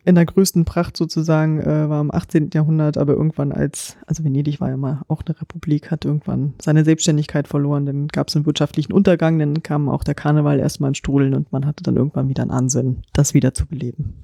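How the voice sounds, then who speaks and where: Mellow woman with a creaky edge. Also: sits low, flat delivery, fast, hardly any pauses, no echo, studio quality. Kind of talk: casual chat, recounting memories and facts.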